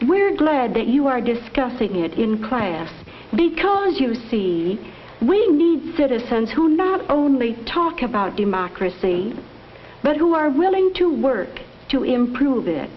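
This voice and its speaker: Fairly high-voiced 1950s civic chairwoman. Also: sing-song, unhurried. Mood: courteous. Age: adult. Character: courteous civic club chairwoman addressing students. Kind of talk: 1950s educational film, formal address. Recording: muffled 1950s film, faint noise.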